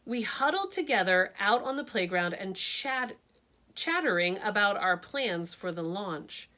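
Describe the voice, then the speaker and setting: A woman teacher reading a picture book aloud, expressive and dramatic, shifting her tone for suspense. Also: mid-range voice, unhurried.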